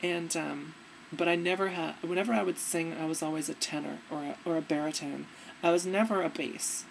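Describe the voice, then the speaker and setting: Young woman vlogging on webcam, lowish gravelly voice, chill and earnest. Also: fairly quick, a little hiss or hum, poor audio. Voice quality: fairly deep, gravelly. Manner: earnest, relaxed, demonstrating as she talks. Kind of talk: casual advice vlog. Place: dim room indoors.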